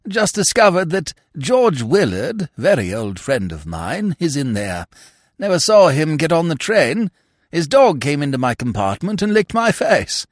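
A man's voice: fairly high pitch, very animated, a little slow, dry recording, studio quality, pitched above the performer's own voice. A man voicing an amiable, vague older English lord in an audiobook, chatty and rambling in short genial remarks. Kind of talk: audiobook character voice.

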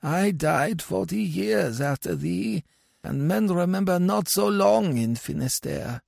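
A man as mournful old dead villager, high-pitched. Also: lively intonation, gravelly, a little mellow, no room sound, studio quality, exaggerated voice. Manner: slow, mournful, archaic speech. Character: mournful sixty-year-old dead Breton villager recalling losses. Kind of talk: dramatic storybook reading.

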